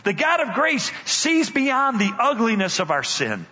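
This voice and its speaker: An older man, a guest speaker, telling a story in a sermon with dramatic pauses and emphasis. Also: very high-pitched, wildly swooping pitch, sharp tone, breathy edge. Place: church sanctuary. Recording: dull church hall reverb.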